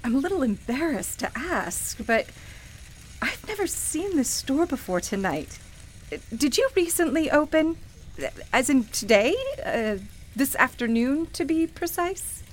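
A woman's voice: high-pitched, very animated, unhurried, slight background noise. A woman playing a skeptical, polite and bemused city dweller in a radio play, with a hesitant, incredulous manner. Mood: embarrassed, incredulous. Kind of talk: naturalistic radio play acting.

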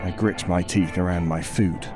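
An actor playing an anxious, troubled father who narrates a horror story in an intense, brooding way with dramatic pauses. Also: low-pitched, fairly even intonation, close to an everyday voice. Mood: weary, brooding. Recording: music behind the voice.

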